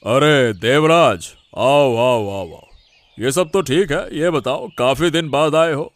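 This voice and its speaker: A man's voice: mid-range voice, slow, dry recording, soft background music, lightly acted. A man voicing a respected village headman in a cartoon story, reassuring and authoritative. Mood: welcoming. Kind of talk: Hindi cartoon moral story dialogue.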